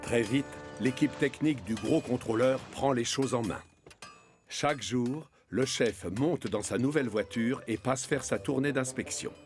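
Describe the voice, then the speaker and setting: A man narrating a scripted children's story with clear phrasing and a storytelling manner. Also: medium pitch, unhurried, darkish tone, soft background music.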